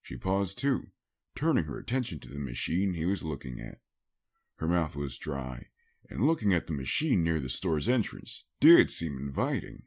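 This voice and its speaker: A man's voice: a bass voice, big pitch swings, normal speed, slightly rough. A man reading a scripted story as an audiobook narrator, in a dramatic storytelling style.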